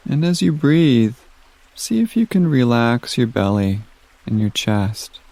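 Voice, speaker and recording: A man leading a guided meditation in a gentle, soothing manner, giving soft instructions with long pauses. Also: medium pitch, very animated, no room sound.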